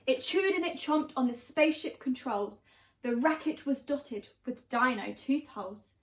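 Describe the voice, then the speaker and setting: A woman's voice: high voice, expressive, unhurried. A woman reading a children's picture book aloud expressively, with dramatic emphasis on key words. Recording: roomy, echoing room sound.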